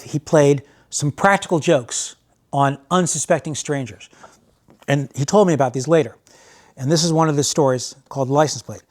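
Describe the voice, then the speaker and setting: High-voiced American man telling stories. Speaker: host and storyteller. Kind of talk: casual spoken storytelling.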